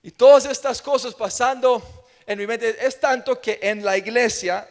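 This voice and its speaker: A man with a Colombian accent delivers a church sermon in a strikingly high-pitched voice. He is emphatic and leans on repeated phrases. His words ring out with the long reverb of a hall. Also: unhurried. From Colombia, grew up in Medellín. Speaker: preacher. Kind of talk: evangelical sermon with personal testimony.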